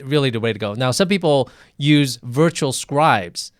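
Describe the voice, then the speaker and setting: A man's voice: medium pitch, expressive, normal speed, no echo. A middle-aged male physician hosting a live interview, talking in a thoughtful, conversational way and often repeating words as he goes.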